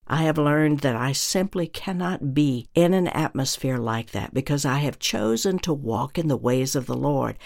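A minister delivering a scripted religious teaching in a deliberate, earnest way, with emphatic phrasing. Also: medium pitch, creaky, a little mellow.

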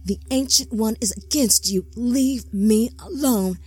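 A woman's voice: fairly high pitch, unhurried, darkish tone, breathy edge, dry recording. A woman voicing a frightened young man who pleads urgently with his captors. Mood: desperate.

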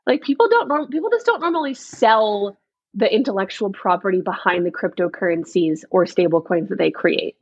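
A woman's voice: medium pitch, expressive, fairly quick, breathy edge, no echo, muffled recording. A young woman co-hosting a podcast, chatting casually, laughing often and asking curious questions. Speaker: podcast co-host.

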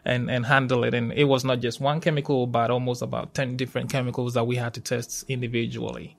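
A man with a Ghanaian accent giving conversational interview answers, in a halting way that often repeats and rephrases. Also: mid-range voice, little pitch movement, vocal fry. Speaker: university safety professional, interview guest.

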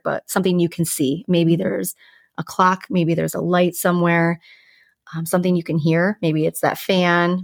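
A woman speaks in a fairly low register, recorded dry with no room sound. She talks as a podcast co-host, offering conversational advice. Her delivery is informal and explanatory, moving through small everyday examples. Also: no echo. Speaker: podcast co-host giving sleep tips.

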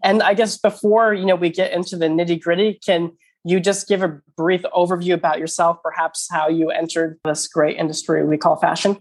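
A man's voice: sits high, little pitch movement, brisk. A man hosting an interview podcast, eager and friendly, with lots of you-knows. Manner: eager, uses many fillers like you know. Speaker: podcast host.

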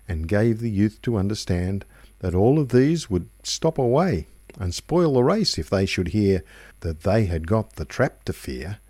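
A man reciting a rhyming tale as a radio storyteller, in measured, rhythmic phrases. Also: on the low side, mellow, no room sound, studio quality. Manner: measured, rhythmic verse delivery. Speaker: radio reader. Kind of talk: expressive poetry recitation, storytelling.

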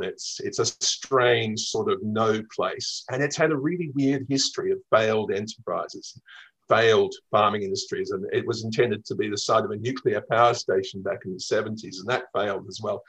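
Thoughtful Australian travel writer, lowish voice. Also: male voice, poor audio. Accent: Melbourne, Australian. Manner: reflective, lively storytelling with fillers. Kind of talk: radio interview.

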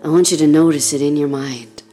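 A woman leading a guided meditation in a soft, breathy, soothing voice with gentle pauses, often close to a whisper. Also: low-pitched, fairly even intonation, faint music behind. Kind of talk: guided meditation, body scan narration.

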